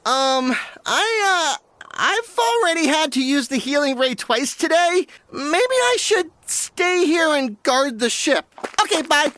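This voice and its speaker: Kid sidekick, very high lively voice, excited, noisy recording. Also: female voice, takes it slowly, full character voice. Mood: timid. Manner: excited exclamations. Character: eager young boy sidekick, excitable and timid.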